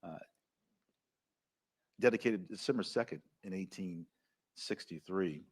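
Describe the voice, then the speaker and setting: A man's voice: low voice, unhurried, a little mellow, breathy edge. A man from the American South with a Southern accent, giving enthusiastic live commentary full of fillers and anecdotes.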